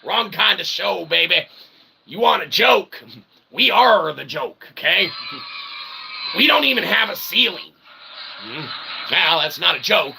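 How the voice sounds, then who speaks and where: A man's voice: high-pitched, animated, unhurried, creaky, pauses often, background noise. A man hosting an improvised livestream, rambling to viewers in wordy, mock-formal roundabout phrases tagged with okay and right, with theatrical playful asides. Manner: often tags sentences with "okay" and "right". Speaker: livestream host.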